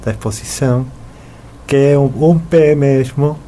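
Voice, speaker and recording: A man's voice: medium pitch, a little slow, mellow, slight background noise. A middle-aged man from Argentina, a native Spanish speaker with an Argentine accent, answering as an artist in a conversational interview. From Buenos Aires, Argentina. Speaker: interview guest, artist and sculptor.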